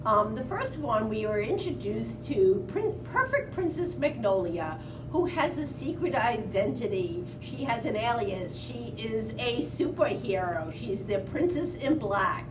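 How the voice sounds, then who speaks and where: A woman, a children's librarian, giving an informal book recommendation in a halting way, pausing mid-word. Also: on the high side, sing-song, background noise. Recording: roomy, distant mic, music behind.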